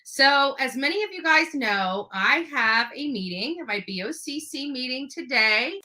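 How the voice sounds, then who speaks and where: Slow, bright-voiced woman vlogger. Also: sits high, lively intonation. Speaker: YouTuber. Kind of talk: vlog greeting to viewers.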